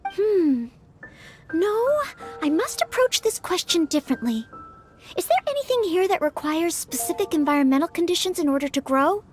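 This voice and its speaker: An actress playing an earnest, studious, polite young maid-knight in a video game, speaking with careful courtesy. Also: female voice, very high-pitched, sing-song, moderate pace, faint music behind. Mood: pondering. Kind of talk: video game character acting.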